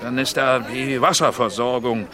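An actor playing a brash, scheming sea trader in a radio drama, boastful, coaxing and coarse. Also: male voice, mid-range voice, fairly even intonation, normal speed, lightly acted. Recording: busy outdoor crowd behind. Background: outdoor crowd bustle.

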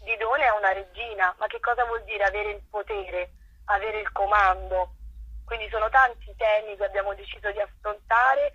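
A woman's voice: mid-range voice, steady tone, normal speed, sharp tone, slightly rough, no room sound. A woman giving earnest, articulate and detailed explanations as a theatre director in an interview, in muffled phone-in sound.

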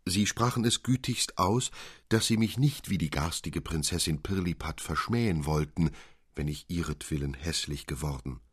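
A very deep, husky gentleman storyteller, courteous and earnest. He phrases things formally and ornately, as in a classic literary radio play. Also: moderate pace. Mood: courtly, earnest. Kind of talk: German literary audio drama, formal reading.

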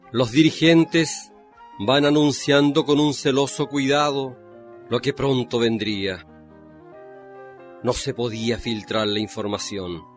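A man narrating a scripted radio drama in a measured, solemn manner. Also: mid-range voice. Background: music.

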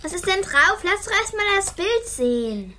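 A woman's voice: very high-pitched, very animated, normal speed, pushed and bright, slight background noise, exaggerated voice. A female performer playing an imaginative, impatient older sister, a young girl, in a children's radio play.

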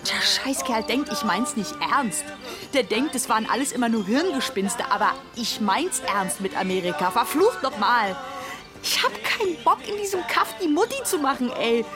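Actress doing bitter young village woman, high voice, blunt and mouthy, radio drama w music behind. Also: animated, moderate pace, lightly acted. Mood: furious, bitter. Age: young adult. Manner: blunt, slangy, vehement.

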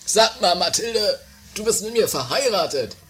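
A man's voice: high voice, lively intonation, moderate pace, pushed and bright. A man playing a pompous, fussy husband from an eccentric castle household in a comedy radio play, speaking theatrically. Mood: indignant.

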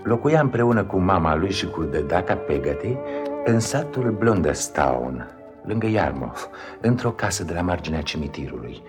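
An actor playing a reflective author narrating remembered childhood in a solemn, wistful 1950s Romanian radio play style. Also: male voice, sits low, big pitch swings. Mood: storytelling. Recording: 1950s radio recording, loud music behind.

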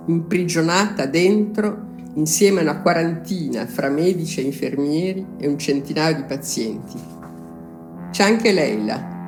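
A reader giving a grave, dramatic reading of a written testimony. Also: mid-range voice. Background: plucked guitar music.

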